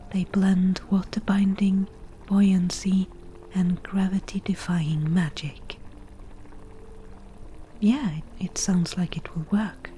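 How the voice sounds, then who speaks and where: A woman voicing a laid-back witch brewing potions, whispering breathily and matter-of-factly in an unhurried ASMR roleplay. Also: lowish voice, dark tone, slight background noise. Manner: unhurried, matter-of-fact, casual.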